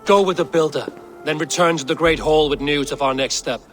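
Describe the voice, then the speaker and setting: A man's voice: on the high side, brisk, a bit bright, soft background music, lightly acted. An actor playing a stern, suspicious Norse god king in a video game, with commanding, formal, archaic phrasing. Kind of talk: dramatic game cutscene acting.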